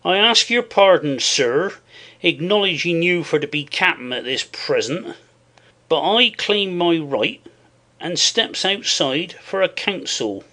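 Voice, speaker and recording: A man's voice: fairly high pitch, big pitch swings, slow pace, pushed and bright, exaggerated voice. An actor voicing a surly, defiant male pirate sailor in his thirties, stiffly formal in an audiobook. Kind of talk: audiobook character voice.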